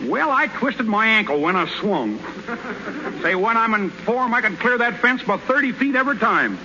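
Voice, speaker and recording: An actor playing a boastful, excuse-making young rookie baseball pitcher in a 1930s radio drama, with a cocky country-boy manner. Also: lively intonation, normal speed, pushed and bright, a little hiss or hum, heavily acted. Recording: muffled old 1930s radio broadcast.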